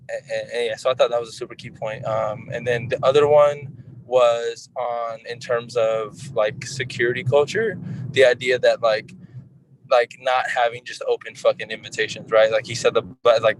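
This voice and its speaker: A man speaking informally in a group discussion, halting often as he searches for his words. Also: mid-range voice, slight background noise, rough recording.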